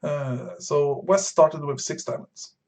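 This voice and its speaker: A man from Sweden, streaming a bridge game and explaining his moves to beginners while thinking aloud with frequent pauses and fillers. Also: on the high side, darkish tone. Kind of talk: live-stream commentary explaining card play.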